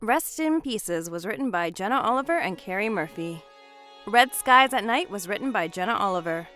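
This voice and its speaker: A woman announces scripted credits for an audio production. Her mid-pitched voice is bright and forceful, with faint music behind it. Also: animated, normal speed.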